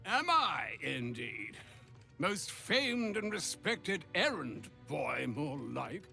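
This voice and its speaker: An actor playing an ancient, pompous, grumbling old wizard in a video game, with ornate archaic speech. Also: male voice, fairly high pitch, sing-song, extremely slow, vocal fry, bright, forceful tone, soft background music, exaggerated voice. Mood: grumbling, weary. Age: older. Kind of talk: video game character acting.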